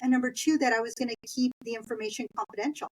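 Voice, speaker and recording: A woman's voice: fairly high pitch, quick pace, a little mellow, rough recording. Chatty Los Angeles woman telling stories. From East Los Angeles, Southern California. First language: Spanish. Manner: chatty, anecdotal, laughs while telling stories. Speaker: guest. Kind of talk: conversational interview storytelling.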